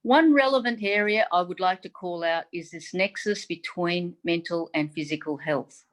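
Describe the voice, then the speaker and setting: Measured, formal woman with lowish voice. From Taiwan. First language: Mandarin Chinese. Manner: measured, formal delivery with frequent "um"s. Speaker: chief medical advisor. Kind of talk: prepared forum speech. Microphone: video call.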